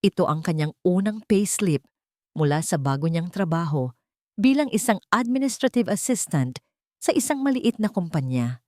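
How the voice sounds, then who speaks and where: A woman's voice: lowish voice, expressive, normal speed, darkish tone, dry recording, studio quality. A woman with a Filipino accent giving a scripted, dramatic narration of a story.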